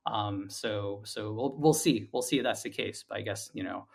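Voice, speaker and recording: A man speaking as an interview guest, a founder explaining his thinking in a halting, conversational way with repeated words. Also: lowish voice, vocal fry.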